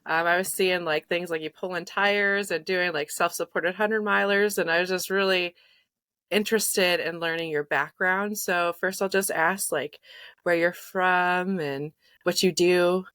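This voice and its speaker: A young woman hosting a podcast, asking questions in a friendly, relaxed way with fillers, at a desk microphone. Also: on the low side, measured pace, dry recording. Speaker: podcast host. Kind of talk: casual interview. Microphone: large desk microphone with headphones.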